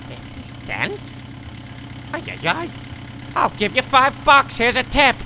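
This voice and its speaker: A man's voice: very high voice, wildly swooping pitch, slow pace, pushed and bright, a little hiss or hum, full character voice. A man doing a whiny New York tourist. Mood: anxious. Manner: whiny, apologetic, easily flustered. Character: flustered male American tourist from New York. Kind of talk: comedy audio sketch.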